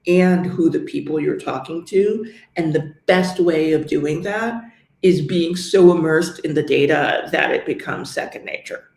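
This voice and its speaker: Middle-aged New York woman, gravelly and fairly high, understated and explanatory; interview guest in an echoey room. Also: a little slow, a little mellow. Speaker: guest, luxury real estate agent. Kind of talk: interview conversation.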